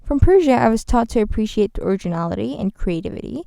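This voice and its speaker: A chatty woman guest in a radio studio, talking in a bubbly, rambling way with a gravelly texture under a smooth, clear tone. The sound is close and dry. She is from Ghana. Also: fairly high pitch, lively intonation, fast talker, mellow, no echo. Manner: chatty and bubbly, with frequent restarts. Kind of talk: casual interview chat. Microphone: studio microphone.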